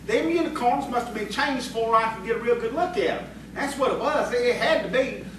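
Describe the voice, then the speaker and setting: A man's voice: extremely high pitch, a little fast. A man playing a boastful, defensive frontier tavern drunk in an audio play, with a rural American accent and a folksy, insistent, rambling way of talking. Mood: defensive, protesting. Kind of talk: audio theatre acting. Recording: roomy, distant, noisy stage recording.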